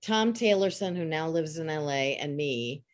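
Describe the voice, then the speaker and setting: Woman, from Chicago, voice actor guest, animated storyteller, swinging pitch, low voice. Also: moderate pace. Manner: animated, acts out quotes, swears freely. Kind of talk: casual storytelling interview.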